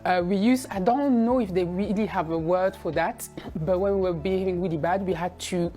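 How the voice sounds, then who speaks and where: A woman from Burkina Faso, raised in Belgium, giving a measured, thoughtful account in an interview. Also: lowish voice, dark tone, faint music behind.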